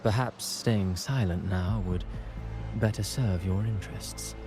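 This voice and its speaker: An actor playing a courteous playwright with a formal, polished manner. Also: male voice, lowish voice, unhurried, over music, close to an everyday voice.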